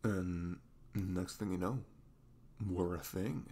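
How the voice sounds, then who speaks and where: A man playing a sweet, goofy, self-conscious young boyfriend in an intimate ASMR roleplay, speaking in a halting, casual, reassuring way. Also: low-pitched, dark tone, husky, exaggerated voice. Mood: tender, goofy.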